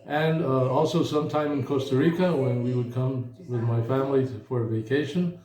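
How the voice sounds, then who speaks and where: A man in his fifties from the United States, an attorney answering interview questions slowly with many pauses and fillers. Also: medium pitch, steady tone, dark tone, reverberant, poor audio. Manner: slow, hesitant, many fillers.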